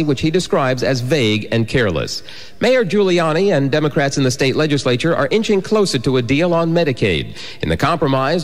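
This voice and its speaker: High-pitched American male newsreader with long reverb. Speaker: radio newsreader. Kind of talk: scripted radio news, sports and weather bulletin. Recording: long reverb, 1990s FM radio broadcast.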